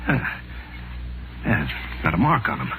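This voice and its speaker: An actor playing a tense, forceful man in a 1940s radio crime thriller. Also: low voice, sing-song, unhurried, exaggerated voice. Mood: shaken. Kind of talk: 1940s radio drama acting. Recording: noisy vintage radio broadcast.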